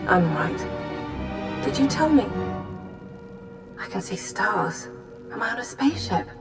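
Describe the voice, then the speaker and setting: A woman's voice: mid-range voice, very animated, slow pace. Proud, sardonic female agent, music behind. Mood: dazed. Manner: dry, wry, guarded. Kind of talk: scripted video game acting.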